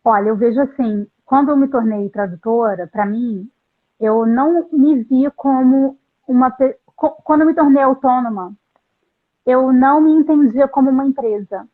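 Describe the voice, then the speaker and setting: A middle-aged woman, a translator, telling her story in an interview, earnest and halting with frequent restarts. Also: high-pitched. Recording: tinny phone-like line.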